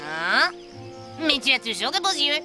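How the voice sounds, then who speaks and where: High-voiced cunning cartoon cat. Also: female voice, very animated, unhurried, loud music behind the voice. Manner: persuasive, bossy, crisp. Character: clever confident talking cat, cunning servant.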